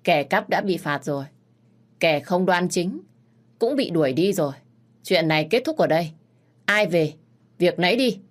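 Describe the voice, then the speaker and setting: Woman doing a cold, commanding young lord; loud, clipped orders. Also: lowish voice, lively intonation, moderate pace, pauses often, lightly acted. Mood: stern. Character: stern young master of a rich household.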